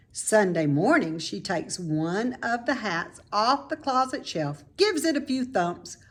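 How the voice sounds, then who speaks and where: A woman reading a children's story aloud, with expressive, deliberate phrasing for young listeners. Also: on the high side. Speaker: storybook reader, teacher.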